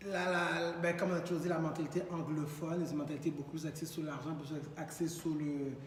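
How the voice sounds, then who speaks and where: A man with a Quebec French accent, talking fast and lively in a casual podcast chat, with English words mixed in. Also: on the high side, heavy reverb, in a large hall, recorded from a distance, a little hiss or hum. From Montreal, Quebec. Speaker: podcast co-host.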